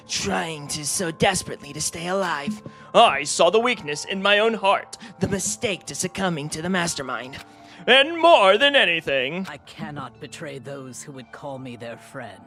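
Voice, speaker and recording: A woman voicing a noble, sorrowful female martial artist in a game, in a deep, grave, deliberate voice. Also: lively intonation, a bit bright, faint music behind.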